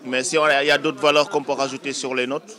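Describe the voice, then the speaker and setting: A man giving a slow, reflective documentary testimony outdoors in a city. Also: mid-range voice, little pitch movement, pushed and bright. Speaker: interviewee.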